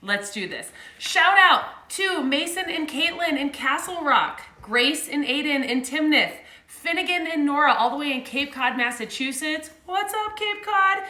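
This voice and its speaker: A woman's voice: high-pitched, pushed and bright. A woman hosting story time, reading a picture book aloud to children in an upbeat, chatty way. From Colorado, United States. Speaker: story time host and reader. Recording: echoey room.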